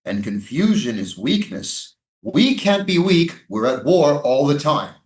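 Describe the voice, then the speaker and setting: A man's voice: on the high side, sing-song, a little slow. A man in a staged play reading, playing a defensive, sardonic American military prison guard. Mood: cynical, weary. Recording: roomy, echoing live staged reading.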